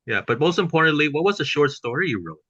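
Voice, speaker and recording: A man hosting a podcast interview with casual questions, speaking haltingly and repeating words, on a dull lo-fi remote call. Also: on the high side, big pitch swings, pushed and bright, slightly rough, no room sound. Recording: dull lo-fi voice track.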